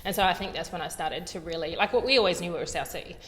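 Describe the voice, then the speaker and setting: Aussie woman chatting about her work, lowish voice, lots of ums, echoey hall, bit of hiss. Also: fast talker, a bit bright. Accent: Australian. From Australia. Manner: hesitant, lots of fillers and restarts. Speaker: guest artist. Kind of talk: candid conversational interview.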